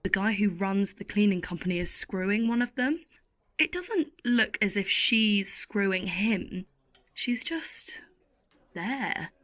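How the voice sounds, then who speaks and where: A woman acts a world-weary, middle-aged late-night waitress in an audio drama. She takes her time, speaking at a medium pitch with dry, sardonic wit. The delivery is intimate and deliberate, like someone confiding a story. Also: a bit bright, close to an everyday voice. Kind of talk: audio play monologue with acted dialogue.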